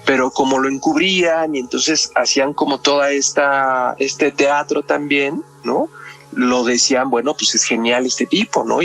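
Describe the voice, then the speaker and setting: A man's voice: mid-range voice, creaky, fairly bright tone, husky. A man with a Mexican accent talking analytically in a podcast discussion, explaining things and often checking with tag questions.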